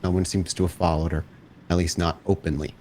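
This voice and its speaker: A man's voice: low-pitched, flat delivery, fairly quick, darkish tone, a little hiss or hum. A man narrating a tabletop roleplaying game as storyteller, describing scenes and asking players questions.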